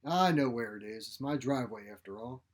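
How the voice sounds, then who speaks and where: A feisty old woman, acted out by a male narrator, speaks in a blunt, folksy, grumbling way. She is a sharp-tongued storybook mother who minces nothing. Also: medium pitch, lively intonation, fairly quick, lightly acted. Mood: crotchety. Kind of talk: short story character reading.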